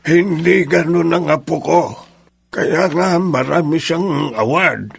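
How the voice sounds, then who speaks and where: A man playing a kindly, protective old grandfather in a Filipino radio drama, gravelly, measured and earnest. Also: fairly high pitch, dry recording, exaggerated voice. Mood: indignant, reproachful. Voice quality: gravelly, creaky. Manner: measured, earnest, gently firm.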